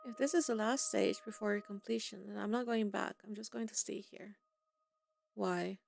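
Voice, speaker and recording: A woman's voice: mid-range voice, normal speed, vocal fry, a little mellow, a little hiss or hum. A woman gamer giving casual playthrough commentary and reading dialogue choices aloud as she plays. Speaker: gaming streamer. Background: game music.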